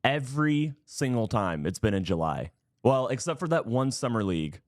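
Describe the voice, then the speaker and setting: A man's voice: medium pitch, very animated, moderate pace, dry recording, studio quality. A man in his thirties chatting casually as a sports podcast panelist, often repeating words in a stop-start way. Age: 30s. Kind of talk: casual chat.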